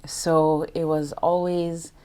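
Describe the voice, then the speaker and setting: Casting note: middle-aged woman from Jamaica, low voice, reflective interview guest. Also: little pitch movement, slow, mellow, clear, resonant tone. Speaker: guest, banking executive. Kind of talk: interview conversation.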